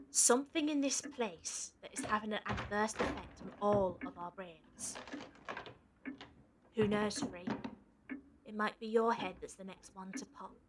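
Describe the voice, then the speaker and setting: A woman playing a coolly rational, sharp-tongued scientist with a precise, pedantic manner in an audio drama. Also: mid-range voice, measured pace, background noise, lightly acted. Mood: pointed.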